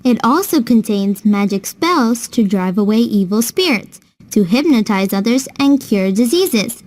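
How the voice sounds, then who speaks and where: A woman's voice: a little high, lively intonation, measured pace, a little mellow. A woman playing a patient, kindly older sister who explains things to a child in a scripted children's educational cartoon.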